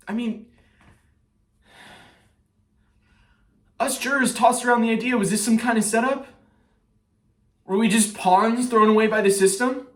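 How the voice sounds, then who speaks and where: A man performing a stage monologue as a shaken, bitter trial juror, speaking haltingly like someone giving testimony. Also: high-pitched, fairly bright tone. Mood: bitter, agitated. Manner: halting, with pauses and repeated phrases. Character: shaken male trial juror, hounded, guilt-ridden and bitter. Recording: roomy echo, distant mic.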